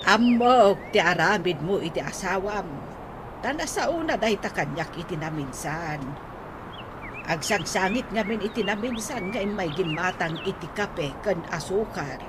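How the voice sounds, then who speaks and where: A woman's voice: mid-range voice, animated, close to an everyday voice. A woman playing a plain-spoken neighbour who chides and advises, in a radio drama, with an Ilocano accent. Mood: chiding. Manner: chiding, measured, emphatic. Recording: noisy, outdoor-like ambience behind.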